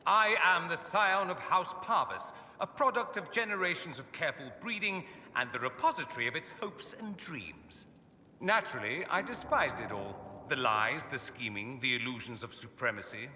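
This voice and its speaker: A suave, self-flattering noble mage for a fantasy game. His voice is very bright and crisp-edged, swooping theatrically with dry wit. It rings in a reverberant hall. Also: fairly high pitch, moderate pace, slight background noise. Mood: theatrical, self-mocking. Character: witty, vain aristocratic male mage, sardonic rebel exile. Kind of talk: video game dialogue acting.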